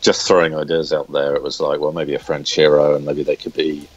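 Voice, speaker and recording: A man being interviewed as a comic book writer, chatting casually in a halting way and often repeating words as he thinks aloud. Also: rumbling low, little pitch movement, breathy edge. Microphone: smartphone recorder. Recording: dull lo-fi phone recording.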